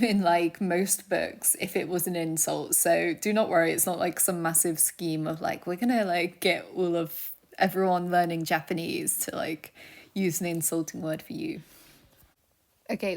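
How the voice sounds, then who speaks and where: A young woman casually teaching a vocabulary lesson, chatty and laughing often, dropping to a soft near-whisper when she gives word meanings. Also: on the low side, creaky. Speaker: language teacher.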